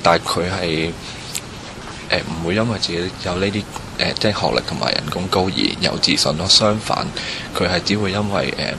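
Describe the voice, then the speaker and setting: Deep-voiced man giving hesitant interview answers. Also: brisk. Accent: Hong Kong Cantonese. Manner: hesitant, roundabout explaining. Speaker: street interviewee. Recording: noisy location with ambient sound.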